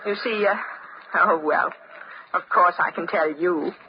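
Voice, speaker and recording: A woman's voice: lowish voice, unhurried, close to an everyday voice. A woman plays a genteel married lady in a vintage radio play. She is chatty, polite and fluttery. The sound is dull, with obvious noise over it. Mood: confiding, fluttery. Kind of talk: old-time radio drama acting. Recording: dull, noisy old radio recording.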